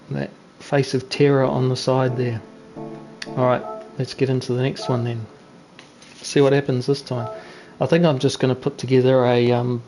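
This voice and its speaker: A man's voice: medium pitch, dark tone, a little hiss or hum, low-quality recording. A man, chatting casually and teasing other drivers as he streams an online race on a gaming headset. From New Zealand. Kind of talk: casual live-stream commentary and chat.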